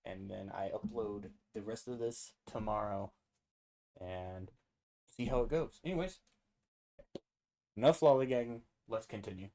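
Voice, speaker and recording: A man's voice: lowish voice, measured pace, pauses often, mellow. A man hosting a casual reaction video, rambling and interrupting himself as he goes off on tangents.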